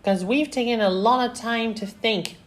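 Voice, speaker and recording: A man's voice: very high voice, moderate pace, voice pushed up. A man voicing a spirited, confident young woman, a coach's daughter in a story. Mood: firm, confident.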